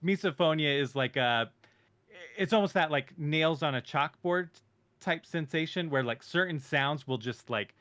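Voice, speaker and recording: A man's voice: a little high, moderate pace, a bit bright. A man chatting casually in a podcast roundtable, talking loosely with many "like" and "uh" fillers.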